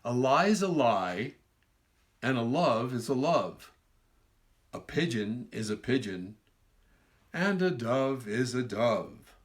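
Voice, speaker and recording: A man's voice: mid-range voice, big pitch swings, takes it slowly, long pauses. Man voicing a preachy, declaiming trickster bird. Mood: measured declaiming. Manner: declaiming, chanting rhymed riddles emphatically. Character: male trickster bird teacher, preacher-like riddler.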